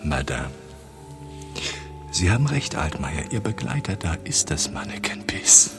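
Suave shady contact, gravelly half-whisper, music behind, radio play. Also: male voice, sits low, sing-song, a little slow, full character voice. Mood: sly, guarded. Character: Paul, suave, shrewd male underworld contact. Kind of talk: German radio play acting.

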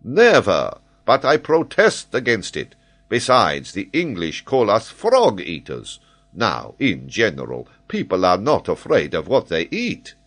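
A man voicing a proud, excitable French geographer from a storybook, pedantic and emphatic as he lectures. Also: fairly low pitch, a little slow, pitched above the performer's own voice, full character voice. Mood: indignant.

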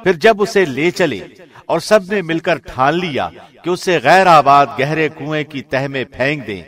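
High-voiced man reading scripture translation. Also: expressive, fairly bright tone. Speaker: radio host reading Quran translation. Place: studio.

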